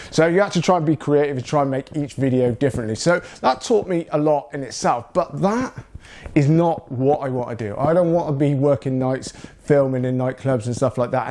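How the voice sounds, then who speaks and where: A man's voice: medium pitch, darkish tone. A man from South England, talking casually to camera as a YouTuber, rambling along with lots of fillers. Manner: rambling, with many fillers like "you know" and "that lot". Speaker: YouTuber and videographer.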